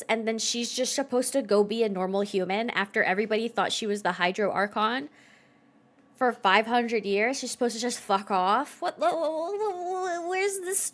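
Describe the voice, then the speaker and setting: A woman's voice: sits high, fairly bright tone. A woman giving casual, reactive game stream commentary in a blunt, sweary, incredulous way.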